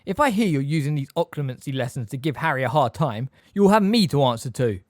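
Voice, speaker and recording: A narrator voicing a brash, protective grown man in a storybook, speaking loudly with an aggressive, challenging manner. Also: mid-range voice, wildly swooping pitch, a little fast, dry recording, clean studio sound, voice pushed up.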